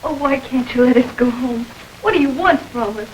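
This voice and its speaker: A woman voices a frightened young woman caught up in a police case in an old radio crime play. Her voice sits high as she pleads and protests. A faint hiss lies under the vintage recording. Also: moderate pace, natural voice, low-quality recording. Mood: pleading. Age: young adult. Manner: pleading, defensive. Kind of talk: 1940s radio acting.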